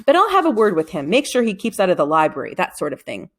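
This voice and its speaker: A woman reading a storybook, voicing a cheerful, obliging, slightly slow-witted father in a plain way. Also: on the low side, wildly swooping pitch. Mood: eager to help.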